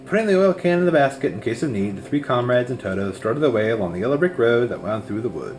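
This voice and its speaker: A man narrates a storybook with a lively, swooping delivery. He reads aloud expressively, and music plays softly behind him. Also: medium pitch, quick pace.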